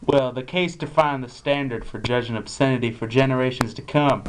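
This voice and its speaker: A man's voice: medium pitch, brisk, natural voice. A young man playing a matter-of-fact talk show guest, reciting scripted explanations in an amateur puppet show. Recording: very noisy amateur recording with clicks.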